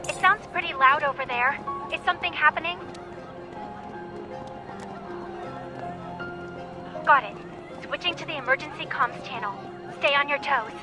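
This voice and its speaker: An actress playing an excitable, chatty young female researcher in a video game. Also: high voice, brisk, music in the background, lightly acted. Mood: concerned, alert.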